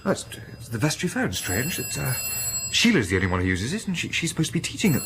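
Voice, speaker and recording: An actor playing a diffident, uneasy vicar in a radio drama, gentle and hesitant. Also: male voice, medium pitch, wildly swooping pitch, fast, noisy background. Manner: hesitant, flustered.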